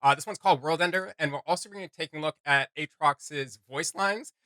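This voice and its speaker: An enthusiastic man hosts a reaction video in casual, slangy talk. His voice is bright and forward, and the recording is close and dry. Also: on the high side, fast, no room sound.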